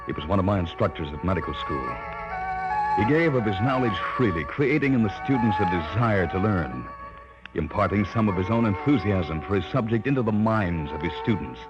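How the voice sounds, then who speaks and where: A man's voice: fairly low pitch, animated, fairly quick, loud music behind the voice, lightly acted. An actor playing an earnest, polite research chemist in his thirties, in 1950s radio drama style. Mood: reflective. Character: earnest male research chemist, respectful former student. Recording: dull old 1950s radio recording.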